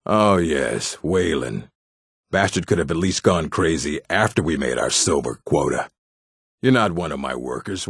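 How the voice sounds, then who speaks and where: A man voicing a gruff, blunt, weary orc with a gravelly, husky voice for a video game. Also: a bass voice, no room sound, clean studio sound, exaggerated voice. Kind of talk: video game character acting.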